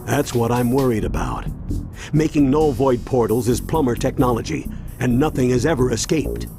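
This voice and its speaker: A man's voice: mid-range voice, animated, normal speed, vocal fry. A man playing a wise, wary older mentor in a video game, serious and explanatory. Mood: worried. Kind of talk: video game cutscene voice acting. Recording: music under the voice.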